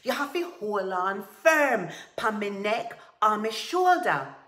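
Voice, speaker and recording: An enraged tiger from a storybook folktale as a woman storyteller acts him out, bellowing loudly in Caribbean Creole, pitched high with fury. He blusters and roars with indignation, and the recording is roomy. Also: big pitch swings, slow. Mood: exasperated, raging. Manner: roaring, shouting, indignant.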